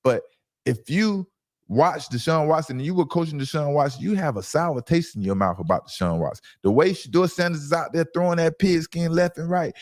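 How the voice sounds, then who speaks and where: Male sports commentator, emphatic and opinionated, lively intonation, very dry recording. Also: fairly high pitch, dry recording. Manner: emphatic, insistent, repeats phrases for stress. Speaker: sports commentator and show host. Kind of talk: opinionated sports reaction talk.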